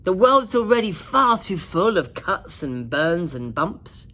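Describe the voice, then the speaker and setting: Woman playing young princess, storybook, kind, plucky, well-spoken, lifted lively intonation. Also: lowish voice, takes it slowly, full character voice. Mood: firm, determined. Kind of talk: children's storybook character voice.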